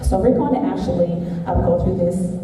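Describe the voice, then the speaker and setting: Soft, halting woman workshop leader; distant, reverberant hall recording. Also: fairly low pitch. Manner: gentle, halting with many ums. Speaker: workshop speaker. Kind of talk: motivational workshop instruction. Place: event room at a university. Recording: echoey hall from far off, noisy.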